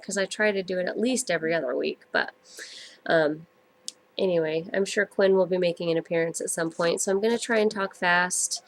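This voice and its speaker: A woman in her thirties from the United States, hosting her own podcast in a casual, rambling way with lots of ums, her nose stuffed up from a cold. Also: fairly low pitch. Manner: rambling, many ums and self-corrections. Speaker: podcast host. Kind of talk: casual solo podcast chat.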